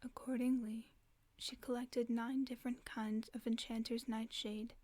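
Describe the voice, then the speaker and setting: Softly whispering woman reading a bedtime story. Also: fairly high pitch, level tone, measured pace, dry recording. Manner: soft, mostly unvoiced whisper. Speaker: ASMR storyteller.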